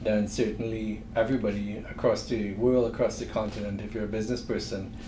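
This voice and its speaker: A middle-aged man with a Trinidadian accent, a guest speaking in a thoughtful, measured way with frequent pauses. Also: on the low side, flat delivery. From Trinidad and Tobago. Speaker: guest entrepreneur. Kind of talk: interview conversation. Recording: echoey room with faint noise.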